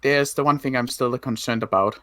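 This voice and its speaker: A man playing a cautious ex-military zombie apocalypse survivor in an improvised game roleplay, speaking haltingly and often rephrasing. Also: medium pitch, a bit bright, no echo, natural voice. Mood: cautious, serious.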